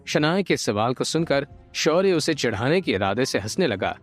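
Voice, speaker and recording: Male narrator for a serial audio drama: mid-pitched, highly expressive, dramatic, soft music bed. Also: normal speed. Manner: expressive, suspense-building. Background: light background music.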